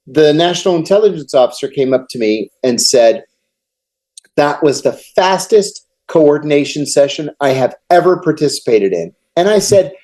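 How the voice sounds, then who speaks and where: A middle-aged American man, a guest on an interview podcast, telling long anecdotes in a measured way. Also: on the high side, darkish tone. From United States. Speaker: guest, former intelligence officer. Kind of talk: storytelling interview answers.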